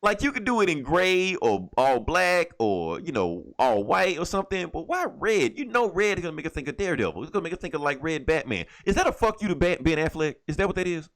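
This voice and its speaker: A man's voice: on the high side, very animated, a little fast, hardly any pauses, a bit bright. A man co-hosting a casual podcast about comics and movies, talking in an emphatic, opinionated way with plenty of swearing. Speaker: podcast co-host.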